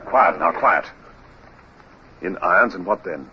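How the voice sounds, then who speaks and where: A gruff senior naval lieutenant with a very low voice, curt and impatient in command. The radio drama recording is muffled and clearly hissy. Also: male voice, animated, unhurried. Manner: curt, hushing, authoritative. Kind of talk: 1950s radio drama acting. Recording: muffled vintage radio recording, heavy hiss.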